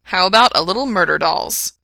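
A punchy, sarcastic male radio DJ as a woman reader plays him in an audio story. He is blunt and easily flustered, with bright, forceful projection. The sound is dry and close. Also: on the low side, lively intonation, a little fast, gravelly, dry recording, heavily acted. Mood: on-air patter. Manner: emphatic, blunt, on-air patter.

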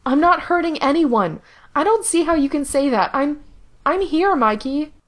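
A woman voicing an anxious young man who pleads with his brother in a high, strained voice. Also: expressive, normal speed. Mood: pleading.

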